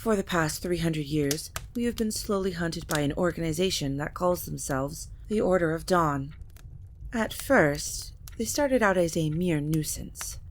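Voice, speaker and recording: A woman playing a stately ancient vampire matriarch in an audio drama, speaking in a formal, measured, solemn way. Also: low voice, a little hiss or hum. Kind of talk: scripted audio drama acting.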